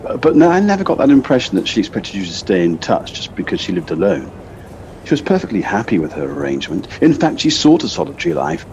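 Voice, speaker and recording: Muffled lo-fi home recording, faint noise, man playing a reasonable, concerned male companion, naturalistic audio drama, gently questioning. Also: lowish voice, big pitch swings, fast. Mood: doubting, gently teasing. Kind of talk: audio play acting, naturalistic dialogue.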